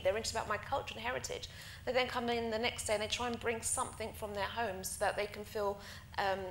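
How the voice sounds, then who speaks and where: A woman who grew up speaking Greek, an art teacher answering on a panel in an earnest, animated way with many fillers and restarts. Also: mid-range voice. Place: conference hall. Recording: echoey hall with faint noise.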